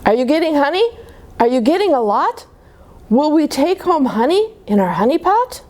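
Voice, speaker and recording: A woman's voice: high voice, very animated, moderate pace, darkish tone, pitched above the performer's own voice. A woman voicing an eager, curious little boy bear in a children's storybook, chirpy and full of questions.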